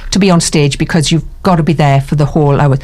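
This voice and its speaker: A woman's voice: low voice, fairly even intonation, quick pace, darkish tone. Chatty, playful woman radio presenter. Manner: chatty, laughing often, playful teasing. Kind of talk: casual radio interview.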